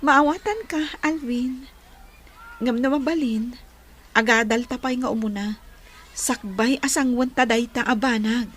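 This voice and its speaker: A woman playing a kind, grateful young student in a radio drama, speaking gently and earnestly. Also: fairly high pitch, unhurried, long pauses, a little hiss or hum, close to an everyday voice. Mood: surprised, gentle. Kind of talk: Philippine radio drama acting.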